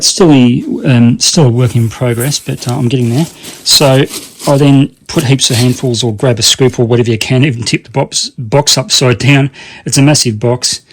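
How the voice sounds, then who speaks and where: A man presenting a casual how-to demonstration in a rambling, colloquial way, often repeating himself for emphasis. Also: mid-range voice. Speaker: hobbyist video presenter.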